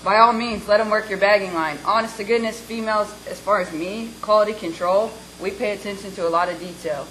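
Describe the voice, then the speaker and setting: A woman's voice: mid-range voice, steady tone, fairly quick, few pauses, fairly bright tone, a little hiss or hum, rough recording. A woman on a discussion panel who speaks bluntly and encouragingly, with a plain hands-on manner.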